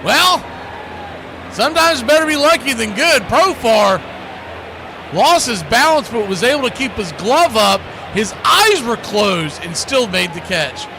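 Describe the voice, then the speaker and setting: High-pitched man calling baseball over noise. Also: big pitch swings, unhurried. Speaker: baseball play-by-play radio host. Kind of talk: play-by-play commentary mixed with casual chat.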